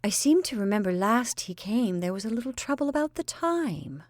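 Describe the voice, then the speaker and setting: A woman's voice: on the high side, sing-song, moderate pace, no echo, voice pushed up. Woman voicing a timid dithering spinster, hesitant, dry close audiobook sound. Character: timid, dithering middle-aged spinster sister.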